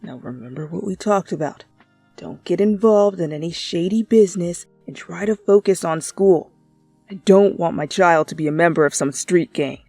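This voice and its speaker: A woman's voice: on the low side, moderate pace, dark tone, no room sound, faint music behind. A woman voicing a stern, overprotective father in a story reading. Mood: stern, warning. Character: stern, overprotective father lecturing his child. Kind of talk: story reading with acted dialogue.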